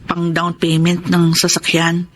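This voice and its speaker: A caring Filipino wife. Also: female voice, on the low side, monotone, unhurried, fairly bright tone. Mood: confiding. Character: Grace, pregnant wife and caring, responsible older sister. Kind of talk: Filipino radio drama acting.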